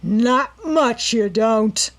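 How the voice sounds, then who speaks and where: Snarling robber gang leader, loud, gravelly threats, sneers a lot, woman reader putting him on. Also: mid-range voice, unhurried, natural voice. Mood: menacing, sneering. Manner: growling, sneering, menacing.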